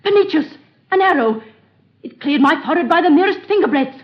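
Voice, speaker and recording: Cruel bored egyptian queen, haughty old-timey radio voice, kinda high, muffled old recording. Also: lively intonation, brisk. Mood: commanding. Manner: imperious, archaic stage diction. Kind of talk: 1930s radio drama acting.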